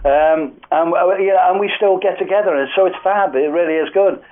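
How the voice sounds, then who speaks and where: A man's voice: sits high, moderate pace. An older man with a Liverpool accent, a guest telling rambling music-industry stories in a casual radio interview. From Liverpool, England. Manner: rambling, anecdotal, many fillers. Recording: tinny phone-like radio line.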